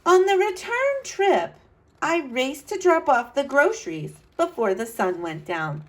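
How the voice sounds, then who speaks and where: A woman's voice: high voice, very animated, measured pace, pitched above the performer's own voice. A woman reading a storybook as an earnest, adventurous young boy who tells his own tale dramatically. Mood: determined.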